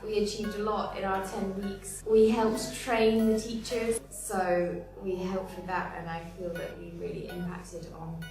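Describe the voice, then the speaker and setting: A woman in her twenties calmly and articulately answering interview questions. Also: mid-range voice, measured pace, hardly any pauses, music in the background. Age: 20s. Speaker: returned volunteer. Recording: echoey room from far off.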